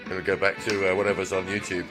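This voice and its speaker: Male repair tech, low register, informal and wry, unscripted demo, music in the background. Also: monotone, quick pace. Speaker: radio repair technician demonstrating a converted car radio. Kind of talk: casual unscripted product demonstration.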